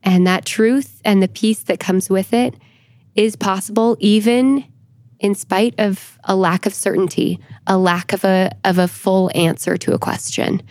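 A woman speaking as an expert podcast guest, explaining things in an earnest, measured and reflective manner. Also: mid-range voice, fairly even intonation, darkish tone, dry recording. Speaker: podcast guest, church historian. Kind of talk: thoughtful explanatory discussion.

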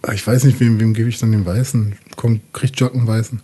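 A man's voice: lowish voice, fairly even intonation, quick pace, dark tone, breathy edge. A young man improvising a story as a player in a tabletop role-playing game, chatting casually and with slang. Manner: informal, slangy.

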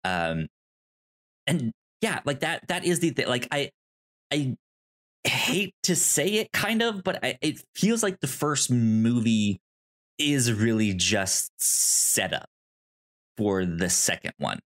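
Male podcast co-host, mid pitch, informal film chat, halting delivery with repeated words. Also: sing-song, a bit bright. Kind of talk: casual film discussion.

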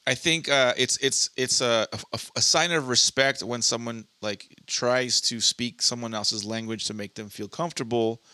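A man's voice: mid-range voice, fairly even intonation, normal speed, hardly any pauses, pushed and bright, dry recording. A man from Southern California co-hosting a casual podcast, rambling through stories with lots of restarts and swearing. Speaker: podcast co-host.

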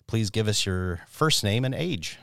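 Low-voiced middle-aged man hosting a podcast. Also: lively intonation, unhurried, creaky, a bit bright, no echo, studio quality. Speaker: podcast host and lay church elder. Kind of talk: podcast hosting, prepared introduction and interview questions.

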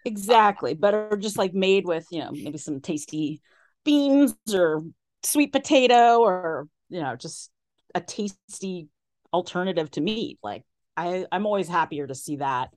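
A woman restaurant columnist chatting on a radio interview, full of "like" and "you know" fillers, with an enthusiastic tone whose pitch swings up and down. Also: animated, unhurried, a little mellow, no echo, studio quality. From based in San Francisco area. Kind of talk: casual radio interview chat. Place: radio studio.

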